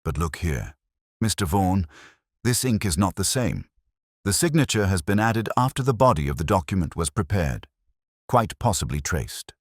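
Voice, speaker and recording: A man voicing a composed, shrewd Victorian detective in a storybook reading, with precise, formal, deliberate speech. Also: low-pitched, very animated, no room sound, clean studio sound. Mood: analytical, grave.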